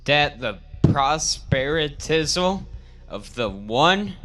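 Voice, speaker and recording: A man voicing an old boar who preaches revolution to farm animals in a theatrical, slangy storybook parody, with dramatic pauses. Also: fairly high pitch, animated, fairly bright tone, exaggerated voice. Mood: solemn. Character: old boar pig orator, rousing revolutionary farm leader. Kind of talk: theatrical audiobook reading in slangy parody speech.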